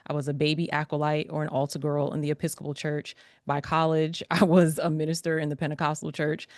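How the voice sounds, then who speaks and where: A woman from New York City, a pastor, author and podcaster, telling her story as an interview guest with a lively, preacherly manner. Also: low voice, fast talker, no room sound, clean studio sound.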